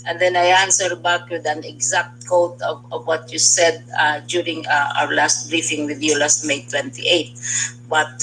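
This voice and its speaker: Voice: medium pitch, level tone, unhurried, pushed and bright, slight background noise, rough recording. A cabinet official with a Filipino accent gives a semi-formal briefing, with courteous address and hesitant phrasing.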